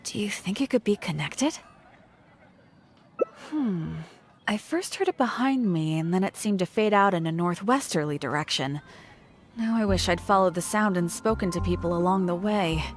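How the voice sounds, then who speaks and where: A woman's voice: lowish voice, moderate pace, slight background noise, lightly acted. A woman voicing a friendly, practical adult shopkeeper in a video game, explaining things in a conversational way. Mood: thoughtful.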